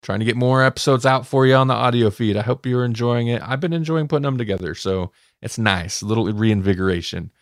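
A man's voice: medium pitch, fast, few pauses, no room sound, clean studio sound. A man hosting a podcast, chatting casually as he reviews stories. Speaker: podcast host.

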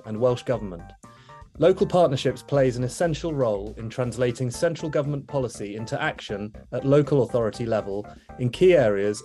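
A man hosting a business interview podcast, reading a formal introduction and then asking relaxed follow-up questions. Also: mid-range voice. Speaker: podcast host.

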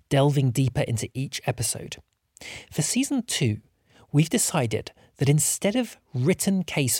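A man's voice: medium pitch, darkish tone, breathy edge, no room sound, studio quality. A man hosting a podcast, reading scripted announcements in an upbeat, welcoming manner. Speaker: podcast host.

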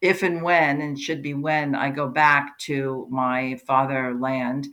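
A woman's voice: very deep voice, flat delivery, slow pace, fairly bright tone. A middle-aged woman from New Jersey whose first language was German, speaking earnestly as a podcast guest, emphatic and given to repeating words as she restarts. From New Jersey, USA. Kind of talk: conversational interview.

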